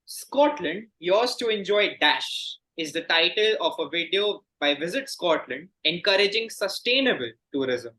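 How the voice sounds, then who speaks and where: A man acts as quizmaster, reading questions aloud in a formal, courteous way. His voice sits a little high and has a very bright, crisp edge. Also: lively intonation, a little slow, hardly any pauses, rough recording.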